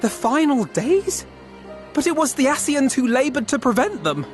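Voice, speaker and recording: High, animated earnest young woman. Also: moderate pace, faint music behind. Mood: puzzled, urgent. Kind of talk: video game cutscene acting.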